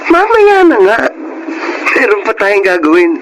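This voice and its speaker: High-voiced, pushy Filipino boyfriend. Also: male voice, wildly swooping pitch, a little slow, noisy background, exaggerated voice. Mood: coaxing. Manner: coaxing, cajoling. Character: pushy, manipulative young Filipino boyfriend, bad influence. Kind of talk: radio drama acting.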